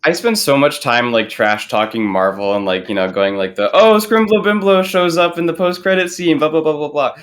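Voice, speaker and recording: A man's voice: fairly high pitch, brisk, hardly any pauses, fairly bright tone. A man chatting casually on a podcast panel, rambling along and leaning heavily on filler words. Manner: rambling, filler-heavy with frequent "like". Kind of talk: casual chat.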